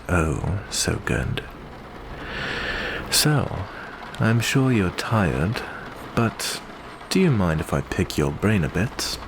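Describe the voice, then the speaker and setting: Guy doing a polite but predatory vampire for asmr roleplay, really deep soft voice, noisy background hiss. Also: heavily acted. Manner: unhurried, genteel, uses endearments. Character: courteous, smooth male vampire host with hidden predatory menace. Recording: clear steady background noise.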